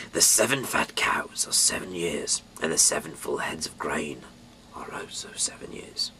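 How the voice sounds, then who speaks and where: A man from the UK reading scripture aloud in a dramatic, halting way, swinging between emphatic bursts and breathy, hushed delivery. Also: low-pitched, a little hiss or hum. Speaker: Bible reader, amateur narrator.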